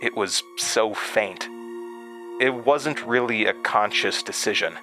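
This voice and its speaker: An actor playing a haunted man recounting a terrifying memory in a horror audio drama, halting and hesitant. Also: lowish voice. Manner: halting, hesitant, with stammered restarts.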